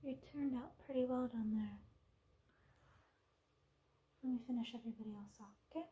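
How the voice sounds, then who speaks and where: Woman playing a very soft, mellow ASMR witch. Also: on the high side, close to an everyday voice, low-quality recording. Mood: doting, reassuring. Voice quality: soft, breathy, often whispered. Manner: slow, coaxing, doting. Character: gentle, self-assured witch turning people into little animals. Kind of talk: ASMR roleplay. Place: living room at home.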